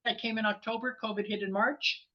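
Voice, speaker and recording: A woman guest in a casual group chat, telling stories in an animated way and laughing often. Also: medium pitch, brisk, highs cut off.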